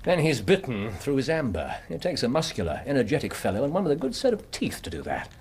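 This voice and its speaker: An urbane English Victorian detective in a radio drama. His mid-pitched voice swoops expressively through precise, dry-witted musings full of small hums. There is faint noise behind him. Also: wildly swooping pitch, fast, darkish tone. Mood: musing, engaged.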